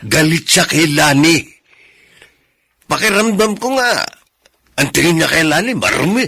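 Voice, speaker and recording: Gravelly, husky, earnest uncle. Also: male voice, fairly high pitch, expressive, a little slow, bright, forceful tone, exaggerated voice. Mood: grave, concerned. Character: earnest, concerned older-generation family man bearing bad news. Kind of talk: Filipino radio melodrama acting.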